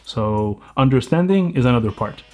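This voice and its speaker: A man's voice: mid-range voice, lively intonation, moderate pace, warm tone, faint music behind. A man with a French Canadian accent giving a casual tech review, explaining as he goes and sometimes restarting his sentences. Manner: explanatory, thinking aloud with small restarts.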